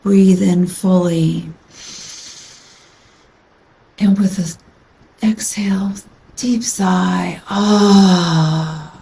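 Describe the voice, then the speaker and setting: Very slow, low-voiced woman guiding meditation. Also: fairly even intonation, gravelly, dark tone, a little hiss or hum, rough recording. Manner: soothing, unhurried, with long pauses. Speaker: yoga nidra guide. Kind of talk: guided meditation.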